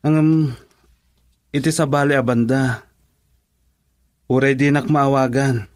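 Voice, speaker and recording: A man's voice: medium pitch, steady tone, measured pace, dry recording, clean studio sound, natural voice. A man playing an earnest Ilocano friend. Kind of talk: radio drama acting.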